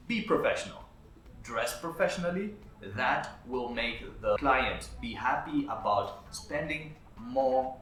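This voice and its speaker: A man's voice: mid-range voice, fairly bright tone, a little hiss or hum. A man whose first language is Swahili, a videographer talking through his business lessons in an earnest, structured vlog. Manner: earnest, structured, advice-giving. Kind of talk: talking-head advice vlog. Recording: roomy echo with faint background music.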